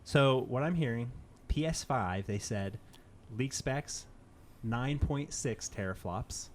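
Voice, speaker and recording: A man's voice: medium pitch, lively intonation, slow pace, a little hiss or hum. A man co-hosting a podcast, talking casually and informally about gaming news with loose, conversational phrasing. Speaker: podcast co-host.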